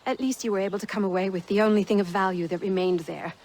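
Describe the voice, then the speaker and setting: A woman playing a spirited, grateful settler wife in a video game cutscene, heartfelt and expressive. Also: medium pitch, quick pace, natural voice. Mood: bitter.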